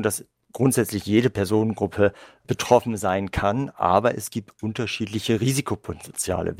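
A male gambling researcher giving measured, explanatory answers in an interview, with occasional fillers. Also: lowish voice, darkish tone, dry recording, studio quality.

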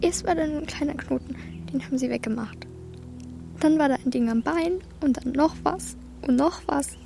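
A woman's voice: high-pitched, moderate pace, vocal fry, over music. A woman playing Martha, a bold, blunt young girl carrying grief, who talks in colloquial slang in a children's radio play. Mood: bitter grief.

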